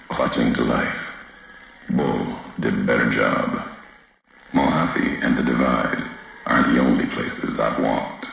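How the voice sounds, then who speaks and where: A super deep brooding wasteland wanderer for a game, slow and solemn, really echoey. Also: male voice, fairly bright tone, exaggerated voice. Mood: stern, guarded. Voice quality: gravelly. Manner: solemn, cryptic, clipped sentences. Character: grave, brooding male wasteland wanderer, bitter about history. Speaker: video game character. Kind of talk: dramatic scripted game dialogue. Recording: heavy echo, faint background noise.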